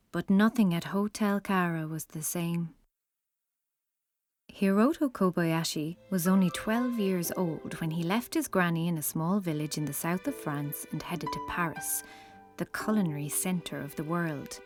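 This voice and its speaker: A woman's voice: on the low side, a little mellow. A woman narrator reading a scripted children's story.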